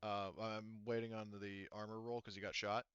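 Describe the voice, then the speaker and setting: A man running an online tabletop game as game master, explaining rules haltingly as he thinks aloud. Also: fairly low pitch, little pitch movement, fairly bright tone, clean tone, dry recording, highs cut off.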